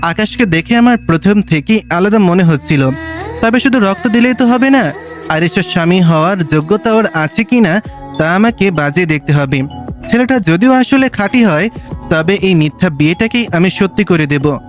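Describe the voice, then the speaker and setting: A man acting as a rich old patriarch in an audio drama, with a notably high-pitched voice. He is stern and shrewd, probing and ordering the young around. Faint music plays behind him. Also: expressive, moderate pace. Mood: brooding, scheming. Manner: commanding, probing, speaks down familiarly to youngsters. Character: stern, shrewd wealthy old grandfather testing his grandson-in-law.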